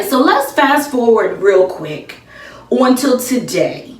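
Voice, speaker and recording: An American woman in her thirties giving emphatic, casual, opinionated commentary to camera, repeating phrases for effect. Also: fairly high pitch. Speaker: YouTube commentator. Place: small indoor room with bare walls. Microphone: camera a few feet away. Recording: echoey room, distant mic.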